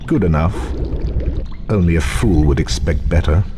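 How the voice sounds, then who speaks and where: An actor playing a cold, contemptuous potions master in a video game, with a slow, sardonic, disdainful delivery. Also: male voice, sits low, close to an everyday voice. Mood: stern, contemptuous. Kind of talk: video game cutscene acting. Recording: clearly noisy old game audio.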